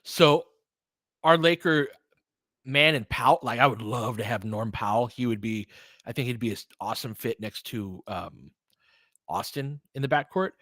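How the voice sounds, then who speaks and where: A man's voice: medium pitch, moderate pace, a bit bright, no echo, clean studio sound. A man doing informal basketball play-by-play as a host, chatting conversationally and thinking aloud. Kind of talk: casual basketball commentary mixed with chat replies.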